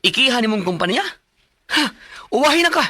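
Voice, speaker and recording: A man's voice: extremely high pitch, big pitch swings, a little fast, bright, forceful tone, no echo, faint music behind, full character voice. A man playing a scornful, bullying office manager in a Bisaya radio drama, mocking and curt, with a Cebuano Filipino accent. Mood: threatening, gloating.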